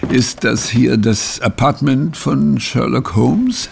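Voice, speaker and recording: Slow, very creaky old gentleman. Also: mid-range voice, slight background noise. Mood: hesitant, courteous. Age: older. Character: polite, hesitant, very old gentleman caller with walking stick. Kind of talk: German audio drama acting.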